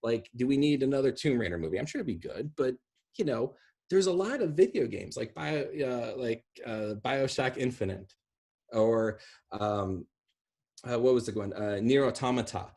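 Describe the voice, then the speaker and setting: A middle-aged man with an American accent and a slightly husky voice, talking casually and off the cuff in an animated, rambling way. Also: medium pitch, normal speed. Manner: animated, gesturing, rambling with asides. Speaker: video host discussing video game films. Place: small room at home, indoors.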